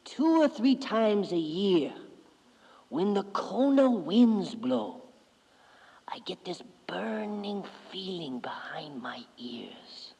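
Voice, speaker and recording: A man voicing a grown Hawaiian son who speaks slowly and solemnly in a low, whispery voice. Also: big pitch swings, vocal fry, warm tone, husky, big-room sound, exaggerated voice, low-quality recording. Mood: hushed.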